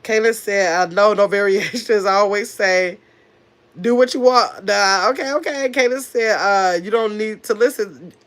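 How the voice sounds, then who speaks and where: A woman's voice: mid-range voice, moderate pace, a bit bright. A middle-aged woman with a very nasal voice, stuffy from a cold that is coming on. She talks loosely and at length to her livestream chat, firing back at comments with sass and rambling asides, close to a phone or webcam mic. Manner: talkative, sassy, rambling, answers chat comments directly. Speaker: livestream host reading chat.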